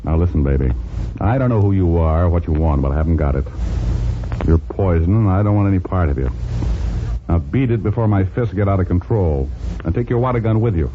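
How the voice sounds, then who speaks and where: A man's voice: low-pitched, animated, brisk, noisy background. A man playing a wisecracking, hardboiled private detective in 1940s radio acting style, cool and sardonic. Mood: sardonic, tough. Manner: sardonic, cool tough-guy patter. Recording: tinny old radio recording, loud music.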